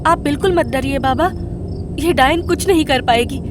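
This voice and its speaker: A woman playing a brave, earnest young woman in an animated horror story, with a pleading manner. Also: sits high, moderate pace, background noise, close to an everyday voice. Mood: reassuring.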